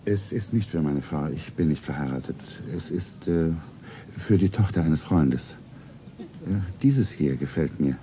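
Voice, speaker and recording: An actor playing an elegant, lovestruck rich gentleman of about forty in a radio play, with a full, soothing voice. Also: very deep voice, expressive, measured pace, slight background noise. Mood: courting, wistful. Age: 40s. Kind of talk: radio play acting, romantic monologue.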